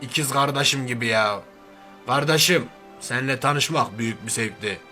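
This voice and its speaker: A man puts on a brash, slangy macho oaf from a cartoon parody. The voice is sharp and forceful, full of grumbling bluster. Music plays under it. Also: medium pitch, moderate pace, lightly acted. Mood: sentimental. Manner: blustering, slangy, threatening then sentimental. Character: brash, grumbling, hairy macho man turned reluctant superhero. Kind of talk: cartoon parody character dub.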